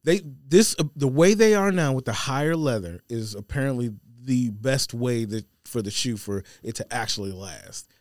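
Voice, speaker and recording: A man's voice: medium pitch, lively intonation, normal speed, few pauses, a little mellow, no echo, clean studio sound. An American man in casual podcast chat, explaining things in an informal, slangy way. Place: podcast studio.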